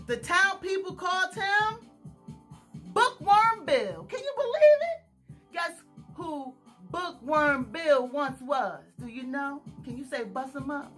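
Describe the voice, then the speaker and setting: A woman reading a children's picture book aloud, expressive and speaking directly to young listeners. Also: extremely high pitch, slow pace, soft background music. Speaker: storytime reader. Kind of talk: children's story read-aloud.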